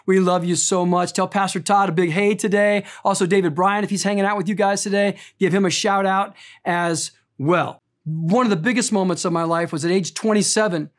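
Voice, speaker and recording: Earnest pastor in his fifties, middle pitch. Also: fairly even intonation. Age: 50s. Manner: earnest storytelling, slows down for emphasis. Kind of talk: sermon.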